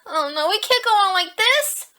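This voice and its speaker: A woman's voice: very high-pitched, animated, normal speed, bright, forceful tone, recorded from a distance, heavily acted. A woman voicing an earnest young female rabbit police officer, perky and easily exasperated, in an audio drama. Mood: alarmed. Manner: perky, to the point. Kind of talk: fan audio drama acting. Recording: very echoey room, faint music.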